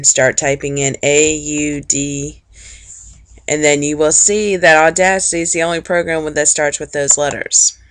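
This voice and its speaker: Lady teacher doing a screencast how-to, lowish voice, plain and informal, real dry sound no echo. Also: a bit bright. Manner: plain-spoken, informal, explanatory. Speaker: teacher giving a software tutorial. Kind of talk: instructional screencast narration, informal step-by-step walkthrough.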